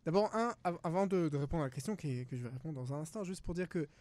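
A man's voice: fairly high pitch, animated, quick pace, a little mellow. A man from France, a studio guest talking in a casual panel discussion, hesitant with many fillers and restarts.

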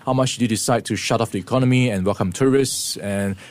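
A man presenting a morning business news briefing, explaining the week's events in a steady way with occasional fillers. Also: fairly low pitch, fast talker, no room sound. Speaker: radio presenter.

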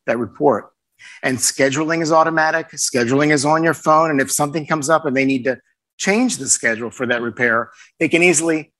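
A man giving a conference presentation into a microphone, making an upbeat sales-style pitch with everyday comparisons. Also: fairly bright tone. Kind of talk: conference talk. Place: conference session room. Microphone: handheld microphone.

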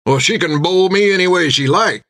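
A man voicing a gruff, red-faced tavern drinker with rustic, ungrammatical talk, in an audiobook. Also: high-pitched, moderate pace, sharp tone, pitched above the performer's own voice. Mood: admiring, tipsy.

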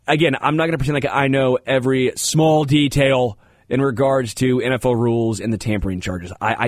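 A man's voice: mid-range voice, dry recording. Congested American man hosting sports radio, close and dry. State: slightly congested. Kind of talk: sports talk radio commentary with casual asides.

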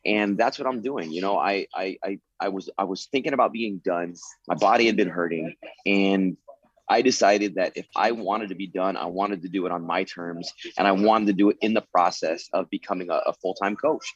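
A man's voice: sits low, normal speed, breathy edge, no echo, dull audio. A man in his late thirties, a former fighter turned coach, answering interview questions in an earnest way, leaning on "you know" as he talks. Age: 30s. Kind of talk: interview answers, reflective storytelling.

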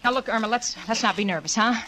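Sardonic nervy young roommate, 1940s radio sitcom, highish pitch, clearly hissy old audio. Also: female voice, fast, bright, forceful tone. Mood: nervous, fretful. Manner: wry, fretful. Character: exasperated, sardonic young working woman, nervous hostess.

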